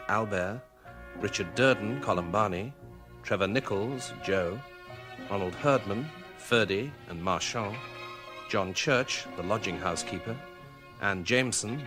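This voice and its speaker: A British man reading scripted radio drama credits as an announcer, in a formal, measured manner. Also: lowish voice. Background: music.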